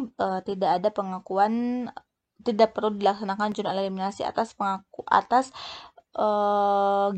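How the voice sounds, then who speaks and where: A woman giving an explanatory lecture as a teacher, speaking haltingly and often restarting words and phrases. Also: mid-range voice, a little mellow. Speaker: accounting lecturer. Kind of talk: explanatory lecture, unscripted walk-through of worked examples.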